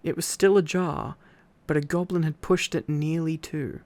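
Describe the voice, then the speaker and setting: A man's voice: fairly high pitch, steady tone, moderate pace, darkish tone, no echo. A sleepy man doing an intimate ASMR boyfriend-style reading, tired and affectionate, with playful asides to his listener. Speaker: ASMR boyfriend roleplay storyteller.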